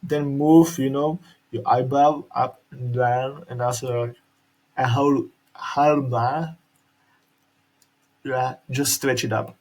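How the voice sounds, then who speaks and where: Slovak man talking haltingly, slightly husky. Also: mid-range voice, fairly even intonation, a little mellow, low-quality recording. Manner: halting, repeats and restarts words, careful articulation. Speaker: video creator. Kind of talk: casual instructional vlog. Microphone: headphones with mic.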